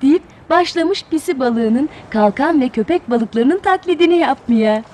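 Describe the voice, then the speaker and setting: A woman's voice: high voice, lively intonation, unhurried, a little mellow. A woman narrating a children's fairy tale expressively, as a storyteller.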